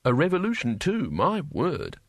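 A man's voice: on the high side, huge pitch swings, normal speed, dry recording, clean studio sound, pitched above the performer's own voice. A man playing a nervous, sarcastic wizard. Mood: sarcastic, wary. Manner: hesitant, wry, mock-polite. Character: nervous, sarcastic, cowardly wizard, politely appalled.

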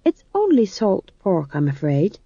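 A woman's voice: fairly low pitch, wildly swooping pitch, measured pace, warm tone, slightly rough, dry recording, highs cut off, full character voice. A woman voicing an awkward, anxious young man in a storybook, polite, hesitant and eager to please. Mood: ingratiating.

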